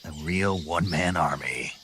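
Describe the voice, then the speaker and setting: A gruff special forces soldier for a video game. His voice is low and gravelly, his delivery terse and wry, over a faintly noisy backdrop. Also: moderate pace. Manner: terse, deadpan, sardonic.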